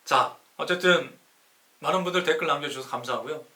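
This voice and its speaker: A man's voice: a little high, fairly quick, sharp tone. A man hosting a live-streamed lecture in an emphatic, didactic way, asking rhetorical questions and answering them himself, with a sore throat. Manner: emphatic, stretches key words. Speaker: live-stream host, city councilor. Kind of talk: live-streamed lecture explaining classical poetry.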